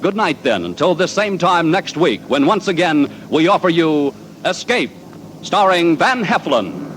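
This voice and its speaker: A man giving a formal, measured sign-off on 1940s network radio. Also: fairly high pitch, big pitch swings, noisy background. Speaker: radio announcer.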